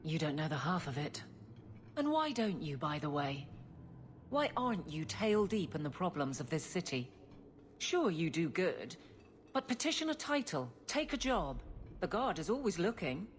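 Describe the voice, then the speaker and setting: A proud woman who captains the guard. Her voice sits fairly low and her delivery is blunt, dry and firm. Light ambient noise sits behind her. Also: big pitch swings, normal speed. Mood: brusque, wry. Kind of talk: video game cutscene acting. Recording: game audio with background ambient noise.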